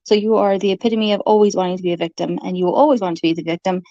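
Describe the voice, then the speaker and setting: Woman with vocal fry, argumentative and repetitive, informal monologue, dull dry sound. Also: medium pitch, fairly even intonation, warm tone, dry recording. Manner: accusatory, halting, repeats phrases.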